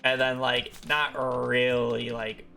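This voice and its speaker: A man's voice: mid-range voice, little pitch movement, slow, vocal fry, pushed and bright, soft background music. A man talking casually over a live gaming stream, explaining at length with many fillers, his voice congested from a recent illness. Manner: rambling, filler-heavy explanations.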